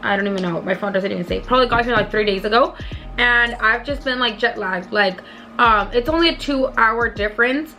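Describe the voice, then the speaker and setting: A hoarse young woman who has partly lost her voice tells a rambling, chatty storytime to her viewers as a beauty vlogger. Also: a little high, brisk, pushed and bright, faint music behind. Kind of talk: casual storytime vlog.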